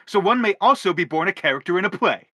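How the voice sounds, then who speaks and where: A man's voice: high voice, animated, fast, pushed and bright, no echo, dull audio, heavily acted. An actor playing an earnest, courteous father character in a radio stage play, speaking formally and with pleading eloquence. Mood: earnest, philosophical.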